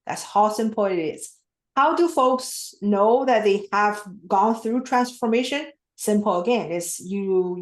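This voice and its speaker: Earnest coach in an echoey room. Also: female voice, medium pitch. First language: not English. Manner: simple, earnest explanations with frequent restarts. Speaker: guest coach. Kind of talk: podcast interview.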